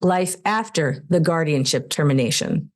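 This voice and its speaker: A woman's voice: low voice, lively intonation, moderate pace. A woman reading a formal, scripted voice-over for an advocacy group.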